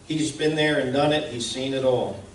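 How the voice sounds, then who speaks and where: A man's voice: medium pitch, moderate pace. A man giving an informal slide presentation to an audience as the presenter. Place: indoor room at a live presentation. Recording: echoey room, distant mic, faint noise.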